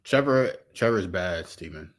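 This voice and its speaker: A man with a somewhat low voice talks on a casual sports stream. His delivery is informal and full of slang, and he states his opinions bluntly. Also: expressive, unhurried. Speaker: co-host or guest on a sports fan stream.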